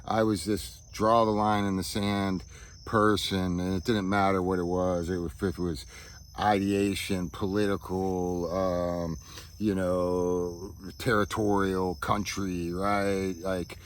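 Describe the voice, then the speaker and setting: A man giving a reflective personal monologue as a vlogger, halting as he searches for words and often checking in with a casual tag question, recorded outdoors. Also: deep voice, little pitch movement, clear, resonant tone. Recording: outdoor ambience with insects chirping.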